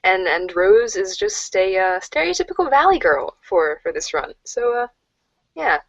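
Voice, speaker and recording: A woman's voice: mid-range voice, sing-song, normal speed, gravelly, no room sound. A woman in an amateur reader's theater cast, recorded in muffled lo-fi audio. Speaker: voice cast member.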